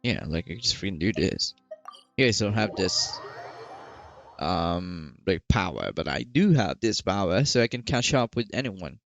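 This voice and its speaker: A gamer giving casual, rambling, halting reactions during a playthrough. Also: low-pitched, lively intonation, muffled recording. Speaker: gaming video host.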